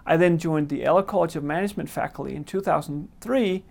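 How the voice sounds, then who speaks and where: A male professor with a Danish accent delivers a prepared lecture introduction. His voice sits a little high for a man and has a noticeable creak. He explains things in a measured, articulate way. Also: darkish tone. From Denmark. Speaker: marketing professor.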